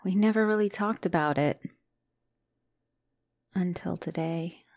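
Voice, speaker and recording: A woman's voice: lowish voice, measured pace, darkish tone, no echo. A woman playing a loving, anxious spider-woman fiancée in an ASMR roleplay, speaking tenderly and hesitantly. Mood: hesitant confession. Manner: tender, hesitant, often dropping to whisper.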